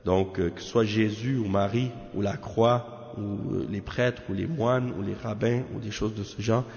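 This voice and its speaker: Guy teaching religion, low gravelly voice, keeps asking hein, muffled echoey hall. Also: fairly even intonation, a little mellow. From Canada. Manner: measured, peppers talk with tag questions. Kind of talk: religious lesson commenting on a text. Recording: echoey hall, dull lo-fi sound.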